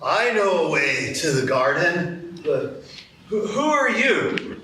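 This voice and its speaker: A man voicing an aloof male caterpillar in a live radio play, slow and haughty, in an echoey room. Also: fairly high pitch, very animated, bright, forceful tone, exaggerated voice. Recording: echoey stage, distant mic, room noise.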